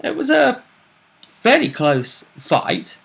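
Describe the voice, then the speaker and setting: A man's voice: very high-pitched, wildly swooping pitch, takes it slowly, creaky, fairly bright tone. A man giving a casual, conversational recap of a tabletop role-playing game as its host, often trailing off mid-sentence.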